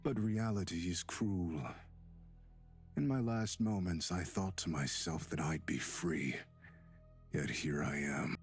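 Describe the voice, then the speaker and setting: A man voicing a bitter, mocking centuries-old demon in a young man's body, with theatrical drawn-out delivery, for a visual novel game. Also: low-pitched. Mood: bitter, brooding. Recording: music behind the voice.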